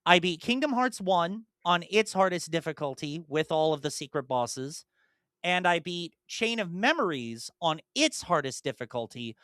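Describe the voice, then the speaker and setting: A man's voice: on the high side, very animated, normal speed, a bit bright, dry recording, clean studio sound. A young man and podcast co-host chatting casually about video games, rambling through detailed explanations with many ums and restarts.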